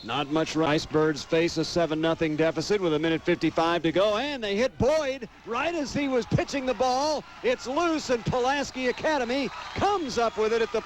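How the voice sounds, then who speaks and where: High-pitched American man calling football play-by-play. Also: moderate pace, hardly any pauses, slight background noise. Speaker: radio play-by-play announcer.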